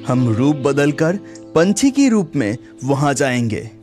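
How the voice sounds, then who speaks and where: A woman playing a young, reassuring water prince in a children's cartoon, calm and polite. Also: very deep voice, a little slow, music in the background, exaggerated voice.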